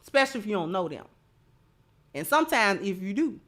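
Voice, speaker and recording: A woman's voice: mid-range voice, very animated, fairly quick, a bit bright. An American woman hosting opinionated live commentary, speaking emphatically and repeating phrases for stress. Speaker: host of a commentary show.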